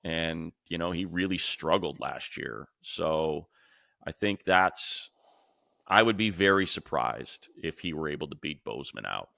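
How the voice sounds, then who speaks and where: A man's voice: very deep voice, expressive, a little slow, gravelly, pushed and bright, no room sound, clean studio sound. A man hosting a sports talk show, casually analysing in an emphatic, conversational way and repeating points for stress.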